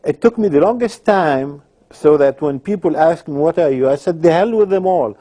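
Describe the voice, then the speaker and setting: A man from Palestine giving personal testimony in a documentary interview, hesitant at times and then forceful. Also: a little high, animated, normal speed.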